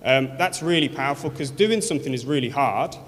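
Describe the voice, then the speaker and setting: A man with a Birmingham accent speaks earnestly from a stage, his sentences full of ums and false starts. His voice has a middle pitch. A long reverb from a large hall trails behind each phrase. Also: expressive, brisk, fairly bright tone. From Birmingham, England. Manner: earnest, with many fillers and restarts. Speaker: award winner speaking at an event. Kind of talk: speech at an awards event.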